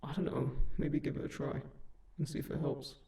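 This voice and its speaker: A man playing a kind sleep paralysis demon in a comfort audio roleplay, speaking gently and reassuringly in an unhurried, gravelly voice. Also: medium pitch, flat delivery, lightly acted. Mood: earnest, reassuring. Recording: roomy, echoing, slightly distant voice.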